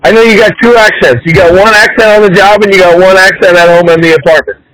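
A man's voice: high voice, steady tone, fast talker, fairly bright tone, rough recording. A man hosting a casual podcast, teasing and goading his guest with mock indignation. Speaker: podcast host. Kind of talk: casual bantering interview.

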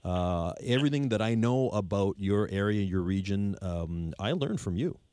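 A man's voice: fairly low pitch, lively intonation, normal speed, mellow, clear, resonant tone, dry recording. A man hosting a radio home show, upbeat and chatty, often jumping in with comments.

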